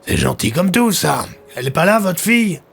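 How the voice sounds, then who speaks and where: A man's voice: sits high, sing-song, brisk, gravelly, fairly bright tone, slightly rough, voice pushed up, exaggerated voice. A man playing a firm police commissioner. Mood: courteous. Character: firm, courteous senior male police commissioner. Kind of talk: audio drama acting.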